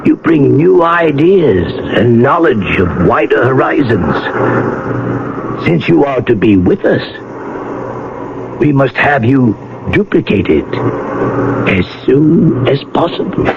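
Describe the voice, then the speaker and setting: An imperious undersea ruler. He speaks very slowly and pompously, with sweeping intonation, in radio-drama style. The old recording is dull and heavy with noise. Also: medium pitch, very animated. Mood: commanding. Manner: pompous, formal pronouncements.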